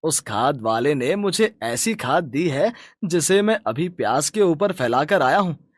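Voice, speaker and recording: A man's voice: on the high side, lively intonation, measured pace, dry recording, studio quality. A man voicing a greedy, boastful, stubborn village farmer and husband in a cartoon moral story, talking in a rustic, dismissive way. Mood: proud.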